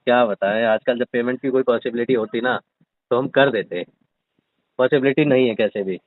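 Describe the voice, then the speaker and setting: A man's voice: mid-range voice, brisk, no room sound. A man arguing on a phone call, teasing and defiant, sometimes pleading in mock fashion. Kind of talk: argumentative phone conversation. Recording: muffled, band-limited phone call recording.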